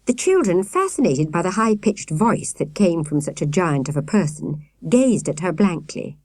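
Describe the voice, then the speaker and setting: A woman reading a children's audiobook in an expressive storytelling manner. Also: fairly low pitch, normal speed, no room sound. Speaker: audiobook narrator. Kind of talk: scripted children's story narration.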